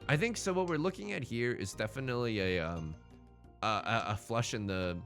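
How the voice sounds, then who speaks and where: A man's voice: mid-range voice, animated, normal speed, fairly bright tone, soft background music. A man giving casual, chatty let's play commentary, thinking aloud in slangy terms as he goes.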